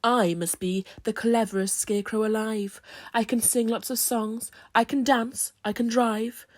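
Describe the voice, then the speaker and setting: A woman's voice: on the high side, fairly even intonation, a little slow, no echo, clean studio sound, natural voice. Woman putting on a pompous, boastful male scarecrow. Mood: boastful, scornful. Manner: pompous, showy. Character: boastful, pushy, dashing male scarecrow rival.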